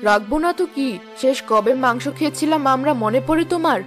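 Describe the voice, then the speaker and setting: A woman's voice: sits high, lively intonation, quick pace, soft background music. A woman voicing a poor, proud village wife in a cartoon, with a nagging, sarcastic and resentful manner. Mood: aggrieved. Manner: complaining, sarcastic. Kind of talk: cartoon character dialogue.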